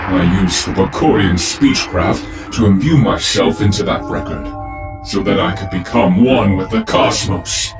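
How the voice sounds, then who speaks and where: A man's voice: low voice, measured pace. A man playing a grandiose, pompous older professor turned cosmic villain in an audio drama, speaking with theatrical deliberation. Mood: grandiose, brooding. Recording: roomy, distant echo under loud music.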